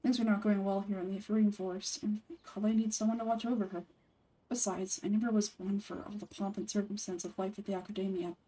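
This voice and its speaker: A woman's voice: mid-range voice, flat delivery, dark tone, distant mic, close to an everyday voice, rough recording. Woman as a composed, dry-toned young male ranger. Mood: dry, measured. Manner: measured, dry, matter-of-fact.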